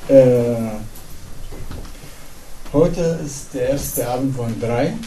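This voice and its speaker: A middle-aged man from Syria with an Arabic accent, a pastor giving an earnest, halting evening talk to a congregation. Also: medium pitch, mellow. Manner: earnest, halting, with pauses and fillers. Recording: echoey hall, distant mic, faint noise.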